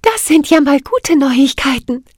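A woman reading a storybook voices a tiny, cheerful female fairy with a bright, bell-like voice. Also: extremely high pitch, a little slow, no echo, clean studio sound, pitched above the performer's own voice. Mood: excited. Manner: excited, later trembling.

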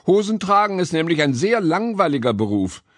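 A man acts out a good-hearted pair of talking suspenders from a children's storybook. The delivery is grumbly yet kind, swinging widely in pitch, in a close, dry recording. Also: big pitch swings, a little fast, dry recording. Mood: delighted. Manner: grumbly, kindly. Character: good-natured, compassionate talking pair of suspenders, eager for fun.

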